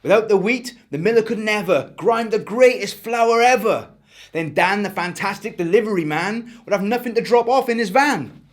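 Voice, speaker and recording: A man voicing an excitable, grateful little boy in a children's story, exclaiming exuberantly. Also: high-pitched, normal speed, voice pushed up, close to an everyday voice. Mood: eager, earnest.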